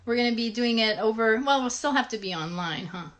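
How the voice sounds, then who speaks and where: High-voiced woman co-hosting for children. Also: animated, brisk. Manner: encouraging, gently instructive. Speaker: puppet show co-host. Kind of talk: casual interactive chat with children.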